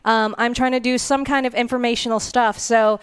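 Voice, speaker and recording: A woman's voice: a little high, steady tone, brisk, smooth, dry recording. A woman who grew up speaking Thai, co-hosting a casual podcast and chatting through the day's schedule with lots of ums. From Thailand. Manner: chatty, rundown-style, many ums. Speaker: podcast co-host. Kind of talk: casual chat.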